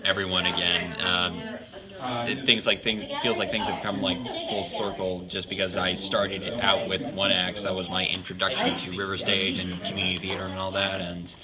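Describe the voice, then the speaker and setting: A man with a deep voice chats informally in an interview, halting and rephrasing. A loud crowd chatters all around him. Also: flat delivery. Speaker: community theater actor. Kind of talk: casual interview answers.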